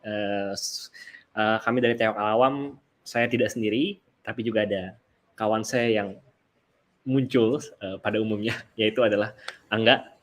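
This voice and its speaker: A man hosting a live stream, opening it in a relaxed way with some hesitations. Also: on the low side, moderate pace. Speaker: host.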